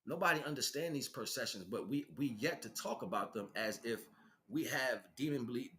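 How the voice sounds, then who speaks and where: A man's voice: medium pitch, sharp tone. A man giving an improvised spiritual lecture in an emphatic, preaching way, repeating phrases and posing rhetorical questions. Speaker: spiritual teacher.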